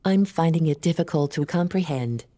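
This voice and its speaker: A curious, unimpressed female AI from a radio play, acted by a woman. The voice sits very low for a woman and is soft-edged and mellow. Questions come short and flat, ringing in a long reverb. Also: moderate pace. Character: female artificial intelligence, curious, blunt, unimpressed by humans. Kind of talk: scripted audio drama dialogue.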